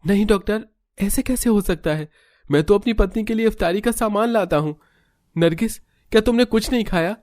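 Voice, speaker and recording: A man's voice: high voice, fairly quick, darkish tone, no echo, studio quality, pitched above the performer's own voice, lightly acted. A man voicing an anxious, caring young husband in a Hindi cartoon story, alarmed and urgent. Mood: distressed.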